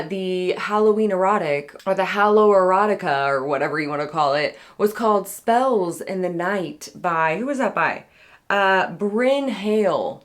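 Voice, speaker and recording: A woman hosting a casual book discussion on her channel, her voice hoarse and raspy as if she has partly lost it. She rambles loosely, circling back and correcting herself, with plenty of fillers between thoughts. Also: mid-range voice. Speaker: book club vlogger. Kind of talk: casual book review chat.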